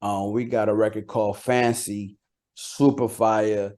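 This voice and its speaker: A man speaking as a rapper being interviewed, chatting casually in a slangy, halting way. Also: lowish voice, level tone, a little mellow. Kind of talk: casual chat.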